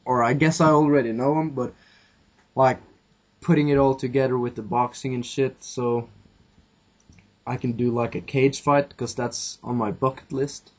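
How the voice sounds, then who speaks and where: A man's voice: medium pitch, steady tone, moderate pace. A man hosting a casual podcast chat, rambling along with plenty of fillers and casual swearing. His voice sits in an ordinary middle range, and the recording sounds a little dull and lo-fi. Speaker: podcast host.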